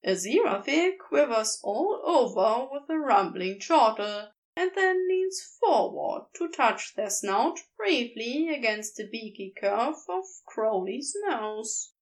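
A woman narrating a fan fiction story aloud in an expressive, measured storytelling manner. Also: fairly high pitch, rough recording. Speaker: podfic reader.

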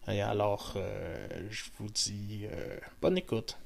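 A man's voice: on the low side, fairly even intonation, slow, gravelly. A man with a Québécois accent hosting a podcast, talking casually with many hesitations and some teasing jokes. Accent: Québécois French. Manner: hesitant, full of fillers, joking. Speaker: podcast host. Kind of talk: casual interview chat.

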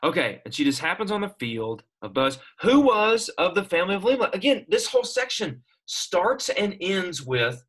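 A man's voice: high-pitched, moderate pace, few pauses, slightly rough. A man teaching a classroom Bible lesson, asking his students questions as he explains. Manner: animated, asks students questions.

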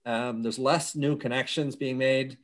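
A man's voice: medium pitch, steady tone, a little slow. A middle-aged man raised in Europe, a company founder talking energetically in long run-on explanations during an interview. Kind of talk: conversational interview.

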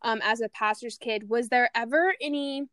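A woman hosts a casual podcast interview, gushing and bubbly as she welcomes her guest. Her voice sits slightly high, smooth and clear, recorded dry and close with no room around it. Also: normal speed, no room sound. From Louisiana. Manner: bubbly, gushing, warm enthusiasm. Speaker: podcast host.

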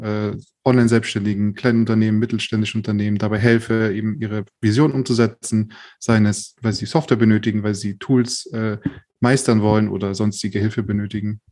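Fields: Man. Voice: low, flat, calm. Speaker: podcast guest, IT freelancer. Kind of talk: casual interview.

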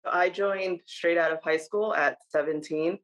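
A woman in her twenties, a soldier answering interview questions in an earnest, steady way, often repeating key phrases. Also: lowish voice, a little slow, low-quality recording. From Dominican Republic, raised in New Jersey. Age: 20s.

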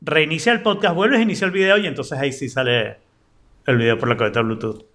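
A man's voice: on the high side, quick pace, a bit bright. A man in a casual group chat, explaining his opinions at length in a jokey way. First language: Spanish.

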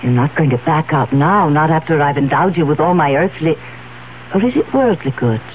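An actress playing a determined, coaxing young fiancée in a 1970s radio drama. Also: female voice, low-pitched, animated, normal speed. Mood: playful. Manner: insistent, coaxing. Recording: narrow, tinny radio recording with hum.

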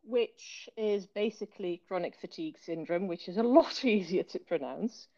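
A woman's voice: mid-range voice, normal speed. Dutch woman with a British accent, weary and hesitant, muffled lo-fi sound. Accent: Dutch speaker with learned British English accent. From Netherlands. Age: 30s. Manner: soft-spoken, hesitant with many fillers, occasional laughs. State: low energy, ill in hospital bed. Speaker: author and illustrator, guest. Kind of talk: casual remote interview. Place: hospital bed.

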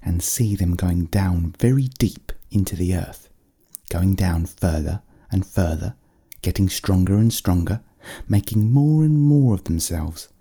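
A man's voice: low-pitched, expressive, measured pace, warm tone, breathy edge, heavily acted. A man voicing a small, friendly magical teddy bear in a children's story, speaking politely and soothingly.

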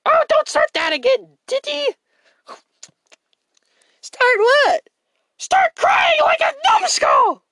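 A woman's voice: extremely high pitch, big pitch swings, slow, creaky, long pauses, sharp tone, dry recording, voice pushed up, heavily acted. A woman voicing a bossy girl who scolds and calls people names in a homemade cartoon. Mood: scolding. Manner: scolding, name-calling. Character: bossy, insulting bratty girl.

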